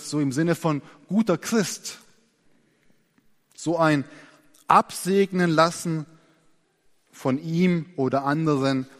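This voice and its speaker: A man preaching in a slightly high voice, earnest and expressive. He slows down and goes soft to stress key points. His voice trails off into the long reverb of a large church hall. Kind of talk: sermon.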